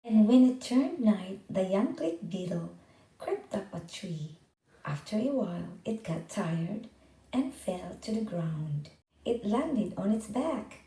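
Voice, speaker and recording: A woman reading a children's picture book aloud in an expressive, deliberate storytelling manner. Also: medium pitch. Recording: roomy echo, distant from microphone.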